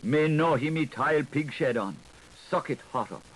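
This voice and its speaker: A man's voice: a little high, lively intonation, normal speed, pitched above the performer's own voice, rough recording. A man voicing a pompous, haughty, self-righteous male ant in an audiobook fable, declaiming solemnly. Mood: solemn praying. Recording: 1980s audiobook recording with audible background noise.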